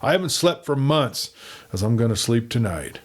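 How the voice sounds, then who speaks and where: A man voicing a nervous, sly frontier agent in a western story, with an edgy, excitable manner. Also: medium pitch, moderate pace. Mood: agitated relief.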